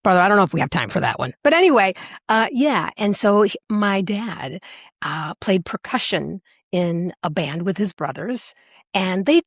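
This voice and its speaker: A woman with an American accent, speaking casually as an interview guest, animated and playful as she tells her stories. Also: mid-range voice, normal speed, husky, no echo, clean studio sound. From Alaska, United States. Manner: animated, playful, acts out remembered remarks. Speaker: singer, podcast guest.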